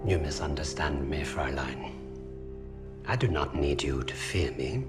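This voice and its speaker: An actor playing a menacing, oily Nazi officer in a war video game, coldly polite and mocking, with a German accent. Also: male voice, extremely low pitch, unhurried. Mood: mocking menace. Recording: loud music underneath.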